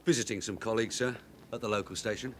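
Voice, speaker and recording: An actor playing a shrewd, middle-aged police sergeant in a radio drama, streetwise and wry. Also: male voice, lowish voice, steady tone, quick pace, a bit bright, slight background noise, lightly acted. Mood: guarded, polite. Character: shrewd, plain-speaking veteran London police detective sergeant.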